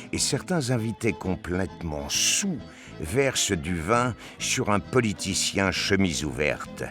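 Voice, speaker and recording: A man reading scripted narration for a science fiction audio drama. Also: deep voice.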